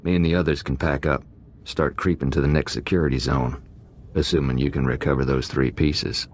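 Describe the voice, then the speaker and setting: An actor playing a rough, easygoing gang thug in a video game, clipped and casual with cheerful menace. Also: male voice, a bass voice, steady tone, brisk. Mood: matter-of-fact. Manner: clipped casual phrasing, deferential to the boss.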